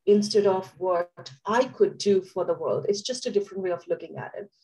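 A woman guest speaks over a webcam on a video call while still getting over a nasty cough. She lays out her points in a deliberate, explanatory way at a middling pitch. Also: mellow, low-quality recording. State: recovering from a cough, under the weather. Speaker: guest, tech community co-founder.

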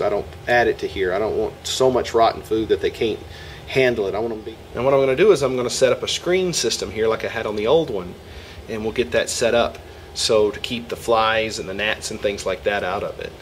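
Low-voiced man demonstrating outdoors. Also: a little fast, a little hiss or hum. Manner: folksy, talks through each step while working. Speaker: homesteading video host. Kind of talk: casual hands-on demonstration. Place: outdoors in a yard.

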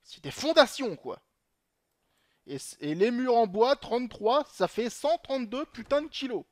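A man's voice: very high voice, animated, measured pace, no room sound, clean studio sound. A young man commenting on his gameplay in a chatty way, swearing often and talking to himself. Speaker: gaming channel host.

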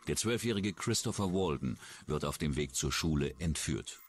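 A man's voice: a bass voice, lively intonation, normal speed, a little hiss or hum. A man reading scripted true-crime narration as a documentary narrator. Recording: music under the voice. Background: background music.

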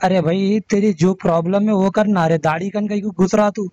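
Man, Hyderabadi accent, high pitch, confrontational phone arguing, dry dull recording. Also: steady tone, a little fast, a little mellow, no echo. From Hyderabad, India. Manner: confrontational, insistent, repetitive. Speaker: caller. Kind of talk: heated phone argument. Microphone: phone call.

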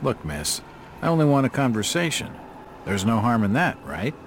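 An actor playing a wry, persistent hard-boiled detective in a video game, sardonic and probing. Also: male voice, medium pitch, big pitch swings, normal speed, noisy background.

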